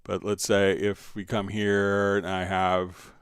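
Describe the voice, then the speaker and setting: Male livestream instructor, lowish clean voice, conversational step-by-step delivery, close dry sound. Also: monotone, slow pace, smooth, no echo. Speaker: livestream host and software instructor. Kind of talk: casual live tutorial, answering viewer questions.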